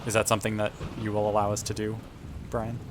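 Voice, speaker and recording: Lowish-voiced man gaming amid rain and thunder. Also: monotone, fast talker. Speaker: player in a tabletop sci-fi role-playing game. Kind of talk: casual game-table chat. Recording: noisy, rain and thunder ambience behind.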